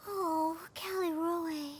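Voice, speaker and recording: An actress voicing a tiny, kind, eager fairy companion in a fantasy game, with a squeaky childlike voice. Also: female voice, steady tone, very slow, noisy background, heavily acted. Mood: touched. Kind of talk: cartoonish game voice acting. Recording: studio voice over music.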